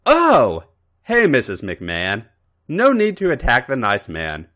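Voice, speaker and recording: Man voicing smirking young man, exasperated, protective, chiding, highly varied pitch, audio story. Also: huge pitch swings, slow, a bit bright, heavily acted. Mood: calm, chiding. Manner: chiding, grumbling.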